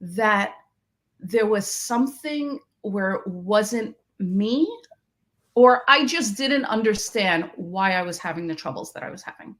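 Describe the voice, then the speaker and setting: A woman's voice: medium pitch, animated, a little slow, fairly bright tone. A woman from Queens, a math education researcher who is a guest on a podcast and tells her story in a reflective way, often restarting her sentences. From Queens, New York. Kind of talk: interview conversation.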